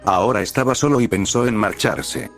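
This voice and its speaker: A young man reading fanfiction aloud in a steady, even narrating style. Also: lowish voice, fairly quick, vocal fry, faint music behind. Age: young adult. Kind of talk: audiobook-style story narration.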